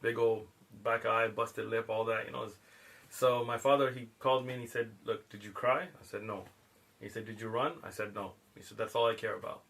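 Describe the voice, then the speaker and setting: A man's voice: fairly low pitch, steady tone, brisk, creaky, a bit bright. A middle-aged man, born in Pakistan, telling stories in a casual chat and laughing often. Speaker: guest. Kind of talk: casual storytelling.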